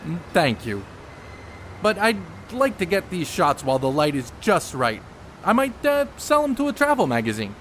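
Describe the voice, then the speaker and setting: A man acts a learned, principled athlete in a period radio play. The voice is a little high with lively pitch movement, measured and guarded in manner, over faint traffic noise. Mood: polite, evasive. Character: educated, guarded, principled man, a ballplayer photographer. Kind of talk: audio drama acting, 1930s setting.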